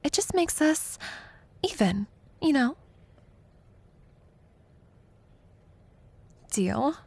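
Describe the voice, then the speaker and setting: A young woman playing a competitive college student in an intimate audio roleplay, a teasing, prickly but secretly fond rival who talks haltingly. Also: sits high, sing-song, gravelly, darkish tone, heavily acted. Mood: coy, challenging. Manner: teasing, halting, flustered deflection.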